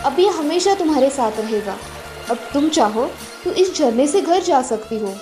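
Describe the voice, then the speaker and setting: Woman playing a high-voiced, measured old grandmother. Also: lively intonation, music in the background. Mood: stern, knowing. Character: old grandmother of a magic world, calm, stern. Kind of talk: Hindi moral-story cartoon voice acting.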